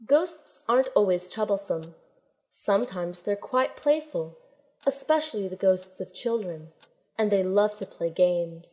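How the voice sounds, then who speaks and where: A woman's voice: medium pitch, animated, unhurried, mellow, lightly acted, low-quality recording. A woman playing a plucky, kind young shaman heroine who narrates her own story in an audio drama. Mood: tense, storytelling. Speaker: narrator and protagonist. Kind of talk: first-person audio drama narration and acting.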